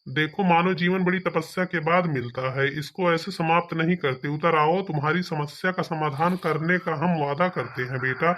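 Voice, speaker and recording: Man voicing authoritative cartoon police officer, fairly high male voice, bossy. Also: normal speed, few pauses, a bit bright, slight background noise, natural voice. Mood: coaxing, urgent. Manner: authoritative, commanding. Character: bossy village police officer, coaxing or threatening. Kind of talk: cartoon comedy acting.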